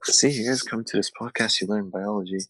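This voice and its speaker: A man's voice: on the low side, a little fast, fairly bright tone, no echo. A young man hosting a crude comedy podcast over a call, rambling and swearing between laughs. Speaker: podcast host. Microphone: remote call over shaky wi-fi.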